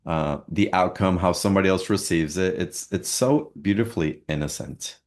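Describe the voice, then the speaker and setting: A man hosting a spiritual talk, asking thoughtful questions in a soft, halting way. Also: deep voice. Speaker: host. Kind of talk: casual interview chat.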